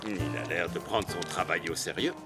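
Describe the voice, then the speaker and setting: A man's voice: deep voice, moderate pace, natural voice. A man voicing a businesslike male building owner who gives orders in a cartoon dub. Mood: brisk. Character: brisk male building owner, stern boss. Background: music.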